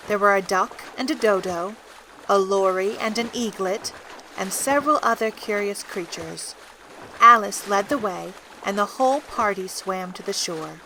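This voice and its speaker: A woman's voice: medium pitch, pushed and bright, slight background noise. A woman narrator reading a scripted children's story for an audio drama.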